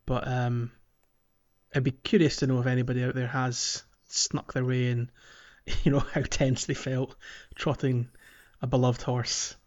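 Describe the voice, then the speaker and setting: A man's voice: medium pitch, flat delivery, measured pace. Chatty man on dull lo-fi audio. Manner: chatty, laughs often, trailing fillers. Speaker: podcast host. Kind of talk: casual podcast chat.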